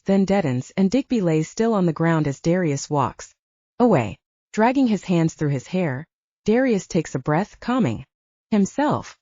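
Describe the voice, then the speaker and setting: A woman's voice: lowish voice, dark tone, no echo. A woman reading scripted dramatic fiction as an audiobook narrator, on a slightly dull recording.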